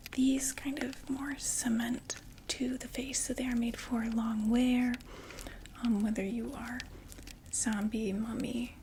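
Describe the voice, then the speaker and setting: A young woman playing a female vampire door-to-door makeup saleswoman in an ASMR roleplay, whispering slow, gentle, chatty sales talk. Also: a little high, a little hiss or hum, rough recording.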